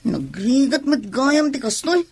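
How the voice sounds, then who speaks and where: A woman's voice: high-pitched, big pitch swings, a little slow, a little mellow, slightly rough, full character voice. A woman playing an irritable, curt pregnant young wife in an Ilocano comedy radio drama, speaking with an Ilocano accent. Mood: weary complaining. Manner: grumbling, curt, complaining.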